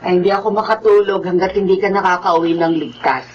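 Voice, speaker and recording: A woman's voice: fairly low pitch, fairly even intonation, fast talker, dull audio, a little hiss or hum, natural voice. A woman playing a village captain's worried, suspicious wife in a Tagalog horror radio drama, concerned and probing.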